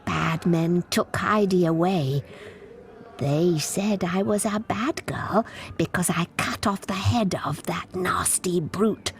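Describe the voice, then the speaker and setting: A woman's voice: on the low side, takes it slowly. A woman playing a childlike, disturbed asylum patient in a video game, plaintive and confiding. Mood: hushed, resentful. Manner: childish, plaintive, drifting into breathy confiding whispers. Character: childlike disturbed female asylum patient devoted to her doll.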